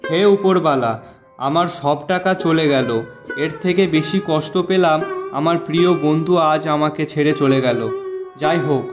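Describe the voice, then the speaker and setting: A man voicing an honest, gentle shopkeeper who pleads with his friend in a moral-story cartoon. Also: a little high, moderate pace, lo-fi sound, loud music behind the voice, close to an everyday voice. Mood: sorrowful. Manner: earnest, pleading, lamenting.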